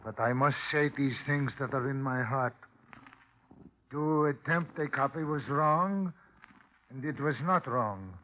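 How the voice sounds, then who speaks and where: A man's voice: mid-range voice, lightly acted. Anguished old father played by a man, tinny sound. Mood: bitter, sorrowful. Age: older. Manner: halting, formal, emotional phrasing. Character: anguished old immigrant father, poor painter, proud and wounded. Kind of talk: 1950s radio drama acting. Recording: tinny, noisy old radio recording.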